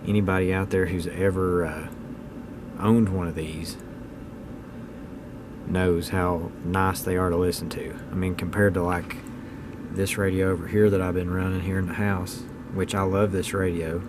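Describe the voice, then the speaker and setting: A man's voice: sits low, level tone, moderate pace, background noise. A man in his thirties giving a casual, unscripted hobbyist video talk in a plainspoken, opinionated, folksy way. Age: 30s. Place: indoors at home.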